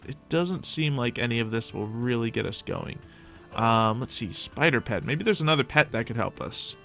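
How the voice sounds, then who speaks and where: A young man hosting a modded game roleplay, chatting casually as he plays and talks to his pet dragon, with soft music behind him. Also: medium pitch. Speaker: roleplay gameplay host. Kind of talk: casual gameplay roleplay commentary.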